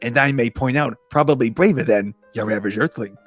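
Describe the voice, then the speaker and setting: A boastful little Martian from a storybook, read by a man. He sounds indignant and proud, in a gravelly mid-range voice with music underneath. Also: medium pitch, fairly quick, no echo, lightly acted. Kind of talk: storybook character reading.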